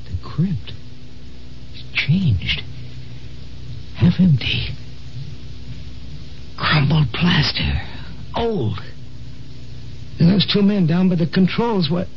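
An unsentimental time traveler in vintage radio drama. His pitch swings widely, and the sound is dull with faint noise. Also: big pitch swings, very slow, pauses often, exaggerated voice. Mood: bewildered, agitated. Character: curt, unsentimental dying man turned bewildered time traveler. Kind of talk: 1950s American radio drama acting. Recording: muffled, noisy old radio recording.

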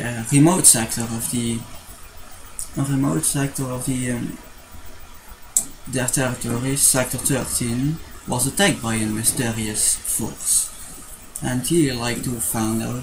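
Slow, gravelly young Dutch man. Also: mid-range voice, a little hiss or hum, low-quality recording. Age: young adult. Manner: rambling, restarts often, hesitant fillers. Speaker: vlogger. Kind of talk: casual rambling vlog. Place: small bedroom with posters. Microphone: webcam at desk.

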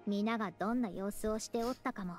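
A woman's voice: medium pitch, racing, darkish tone, faint music behind, close to an everyday voice. A woman voicing a proud, youthful anime scholar who talks like an old sage with archaic, self-assured phrasing. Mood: solemn, wistful. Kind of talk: Japanese anime game acting.

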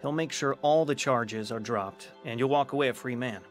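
A man's voice: medium pitch, normal speed, lightly acted. An actor playing a man who confidently offers a crooked legal deal in a radio drama. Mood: persuasive. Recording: music under the voice.

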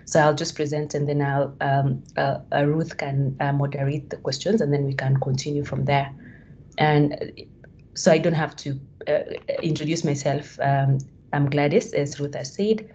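A woman's voice: sits low, fairly even intonation, normal speed, a little mellow, slight background noise. A woman from Kenya in her thirties giving a hesitant talk on a video call, with many fillers and restarts. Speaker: guest speaker. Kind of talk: online presentation on a video call. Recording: dull lo-fi call audio.

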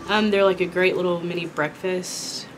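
A young woman from Kentucky chatting casually while eating, her voice raspy from a sore throat, rambling with many ums. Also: lowish voice, steady tone, normal speed, music in the background, low-quality recording. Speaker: vlogger. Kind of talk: casual mukbang chat. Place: small indoor room with dark curtain backdrop.

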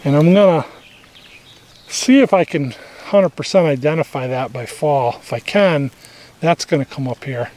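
Guy with a kinda higher voice doing a casual homestead yard tour, plainspoken, talking off the cuff behind the camera outside. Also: moderate pace, darkish tone. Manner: unscripted, plainspoken. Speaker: homesteader hosting a garden tour vlog. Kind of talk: casual outdoor walk-through narration. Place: outdoors in a rural yard. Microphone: handheld camera's built-in microphone, speaker behind camera.

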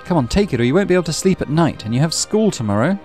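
A man voicing a stern, weary mother with a sharp, exasperated, accusing manner in a visual novel. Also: on the high side, sing-song, very fast, soft background music, pitched above the performer's own voice. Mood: angry scolding.

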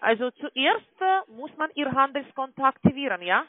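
A woman with a non-native German accent gives an explanatory phone sales pitch as a call-centre agent, often adding tag questions to confirm. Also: big pitch swings, moderate pace, dry recording. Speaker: call-centre agent selling online trading. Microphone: telephone call. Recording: tinny, band-limited phone line.

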